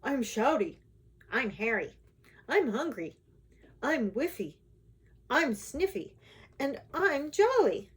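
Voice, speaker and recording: A woman's voice: sits high, sing-song, slow, pauses often, husky, voice pushed up, heavily acted. A woman voicing small, comic, boisterous bearded dwarf men in a storybook tale. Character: band of six small bearded male dwarves, boisterous, comic.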